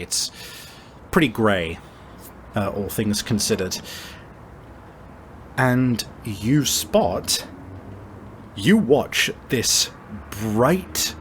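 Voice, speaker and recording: A man narrating a tabletop game as game master, conversational and halting, with deliberate pauses. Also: medium pitch, a little hiss or hum. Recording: music playing behind. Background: background music.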